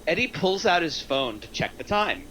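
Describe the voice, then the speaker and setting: A man's voice: on the high side, sing-song, moderate pace, a bit bright, slight background noise, low-quality recording. A man reading a screenplay's scene directions aloud as scripted narration. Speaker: narrator at screenplay table read.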